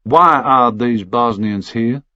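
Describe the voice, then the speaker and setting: A man voicing a cold, formal Texan official in an audiobook, measured, condescending and threatening. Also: mid-range voice, pushed and bright, no room sound, muffled recording.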